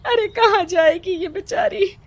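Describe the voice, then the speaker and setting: A woman's voice: very high voice, measured pace, voice pushed up. A woman voicing a frightened village wife and mother in a cartoon, wailing and imploring. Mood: tearful, pleading. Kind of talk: Hindi cartoon character acting.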